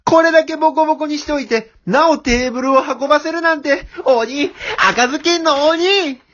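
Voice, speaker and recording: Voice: high-pitched, normal speed, fairly bright tone, muffled recording. An amateur radio drama actor playing a hapless, blustering male wolf who whines and retorts in exasperation. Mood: beaten, whining. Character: hapless male wolf, blustering villain turned put-upon porter.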